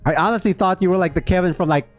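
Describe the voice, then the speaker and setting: A man streams a game in a fairly high voice. He reacts casually and chats playfully with viewers, with some music in the background. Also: very fast. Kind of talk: casual live reaction commentary, chatting with viewers.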